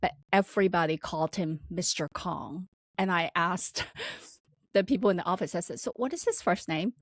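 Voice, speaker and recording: Podcast co-host, woman, slight accent, lowish voice, dry close sound, lively anecdote teller. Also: normal speed, no echo. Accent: slight non-native accent. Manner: animated storytelling with laughs and acted-out quotes. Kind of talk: casual storytelling chat.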